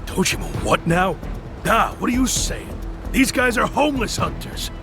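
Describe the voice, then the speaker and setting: An actor playing a friendly, teasing homeless man in a video game dub, with a gravelly American voice. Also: high voice, animated, normal speed, music in the background. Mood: alarmed. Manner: teasing, folksy.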